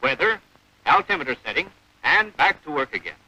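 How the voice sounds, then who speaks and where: Lively 1940s training film narrator, man. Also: on the high side, brisk, vocal fry, dull audio. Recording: noisy old 1940s film soundtrack.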